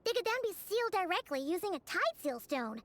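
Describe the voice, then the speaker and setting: A woman's voice: very high voice, very animated, brisk. A woman voicing a tiny, chirpy fairy-like companion girl who talks about herself in the third person, in a video game. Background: faint game music.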